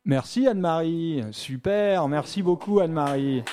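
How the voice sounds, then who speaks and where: A male radio host with a mellow, fairly high voice leads a relaxed studio show. He talks in a chatty, leisurely way, leaning on fillers as he greets each guest. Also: moderate pace. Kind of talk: casual radio show hosting.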